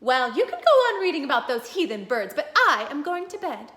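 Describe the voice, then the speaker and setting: A woman's voice: high voice, very animated, fairly quick, fairly bright tone, voice pushed up. A woman reading aloud, voicing a thrifty, worried housewife who scolds sharply. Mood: fretful. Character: fussy thrifty housewife, middle-aged.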